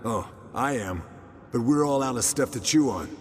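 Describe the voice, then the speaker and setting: An actor playing an easygoing, rugged monster hunter in a fantasy game, speaking in clipped, colloquial phrases. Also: male voice, mid-range voice, animated, moderate pace, big-room sound, a little hiss or hum, natural voice.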